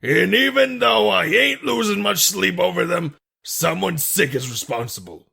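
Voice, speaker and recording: A man voicing a loud, grouchy hard-boiled detective in a comic dub, griping in slangy bluster with a raspy, forceful voice. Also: high-pitched, animated, measured pace, exaggerated voice. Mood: indignant.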